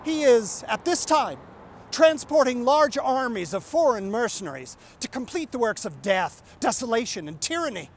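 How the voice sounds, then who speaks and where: A man's voice: high-pitched, a little hiss or hum. A man giving an impassioned, indignant and emphatic oratorical recitation of a historical document. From United States. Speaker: reciter, live presenter.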